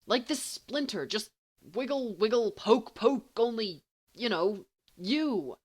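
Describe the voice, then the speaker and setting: A woman's voice: a little high, lively intonation, voice pushed up. A woman playing an exasperated, bookish teenage schoolboy in an audiobook, grumbling and dryly sardonic. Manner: grumbling, sardonic, halting when flustered.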